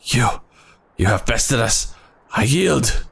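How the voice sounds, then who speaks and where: A man's voice: medium pitch, lively intonation, slow, vocal fry, fairly bright tone, breathy edge. A man hosting a let's play, making joking asides to his audience while losing his voice. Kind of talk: casual game commentary.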